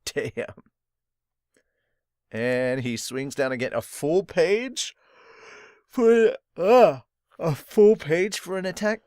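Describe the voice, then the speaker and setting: A man hosting a podcast, casually reading and reacting to a manga, expressive with laughs and swearing. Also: on the high side, slow pace, pauses often, no echo, studio quality. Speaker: podcast host.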